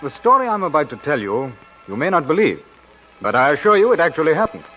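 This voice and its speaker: A genial young officer narrating a strange tale. His voice is on the high side and swoops with theatrical expression, in a dulled vintage radio recording with music. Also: very animated, quick pace, dull audio. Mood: confiding, earnest. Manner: theatrical storytelling. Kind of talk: 1940s radio acting, dramatic narration.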